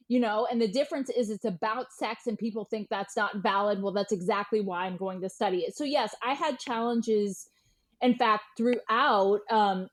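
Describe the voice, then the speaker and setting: Blunt, casual woman telling stories. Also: on the high side. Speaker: interview guest, writer and historian. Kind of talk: animated conversational storytelling.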